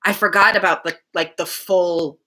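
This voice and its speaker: A woman's voice: fairly low pitch, fairly even intonation, moderate pace, sharp tone. A woman reading a classic novel aloud as the host of a live reading, at times speaking casually. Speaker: reader hosting a daily live book reading.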